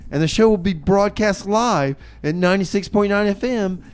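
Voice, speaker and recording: A man's voice: high voice, moderate pace, clean tone. A man hosting a community radio show with casual, improvised chat and announcements, hesitant and folksy, often repeating his phrases.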